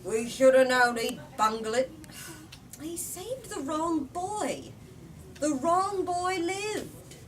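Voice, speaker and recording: A woman's voice: high voice, animated, slow, pitched above the performer's own voice. A woman voicing scornful villagers who mutter accusations in a storybook reading. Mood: scornful blame. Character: muttering, accusing villagers gossiping over a tragedy. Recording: distant mic, noisy room.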